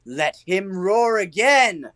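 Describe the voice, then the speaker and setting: A man's voice: high voice, big pitch swings, slow pace, a bit bright, no echo, clean studio sound, heavily acted. A man playing a boastful, eager workman and amateur actor, showy and theatrical, in a comic Shakespearean audio play.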